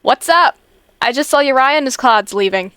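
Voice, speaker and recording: A woman's voice: high voice, expressive, normal speed, sharp tone, smooth, no echo. A woman voicing a spirited, eager and defiant teenage schoolgirl in a comic dub, earnest and emotive. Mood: grumbling. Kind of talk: earnest fandub acting.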